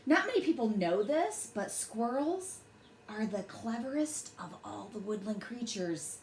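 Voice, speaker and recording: A woman reads a bedtime story aloud to children with expressive, dramatic phrasing. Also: on the high side, measured pace. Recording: roomy echo, faint background noise.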